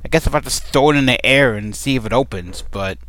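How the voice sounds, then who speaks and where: A man's voice: mid-range voice, quick pace, a bit bright, no room sound, low-quality recording. A man talking casually into a desk microphone at home, rambling and playful as he answers questions. His voice sits in a middle range, and the recording is close and dry, with no room sound. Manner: rambling and playful, with many ums. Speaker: vlogger answering a question tag. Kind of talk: casual chat.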